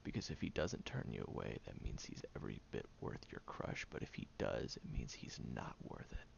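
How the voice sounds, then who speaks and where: A low, heavily creaky young man's voice acted by a man in an intimate audio roleplay, blunt and defensive with a caring core. He talks straight to the listener in a halting, grumbling way, his pitch moving freely, on a slightly dull home recording. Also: big pitch swings, slight background noise, exaggerated voice. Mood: earnest, reassuring. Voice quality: gravelly, low. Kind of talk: audio roleplay acting, one-sided dialogue addressed to the listener.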